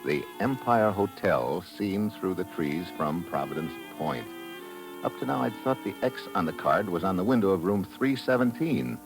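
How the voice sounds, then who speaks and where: A cool, hard-boiled investigator in a vintage radio drama. His voice sits very low, and he speaks in a dry, understated way, whether questioning someone or narrating his case. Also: animated, normal speed, over music. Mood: brooding narration.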